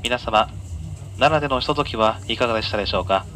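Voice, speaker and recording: A man working as a train conductor, giving polite, formal announcements and sightseeing guidance to passengers in a measured way. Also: medium pitch, sharp tone. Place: inside a moving train, over the announcement system. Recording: on-board PA captured amid train noise. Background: faint train running noise.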